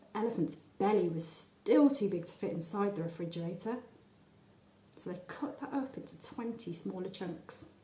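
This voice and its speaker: A woman reading a short story aloud in a measured, expressive storytelling manner with deliberate pauses. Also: mid-range voice, roomy sound, slight background noise. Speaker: story reader. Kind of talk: scripted narration of a short story.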